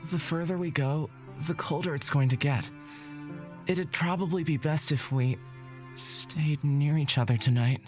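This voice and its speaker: A woman playing a gentle, cautious young forest dweller who speaks hesitantly in a fantasy visual novel. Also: low voice, soft background music. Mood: gentle, calm. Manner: hesitant, unhurried.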